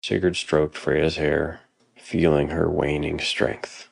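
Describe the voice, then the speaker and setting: A man's voice: rumbling low, level tone, takes it slowly, creaky, no room sound. A man narrating a dramatic story from a script, as a storyteller.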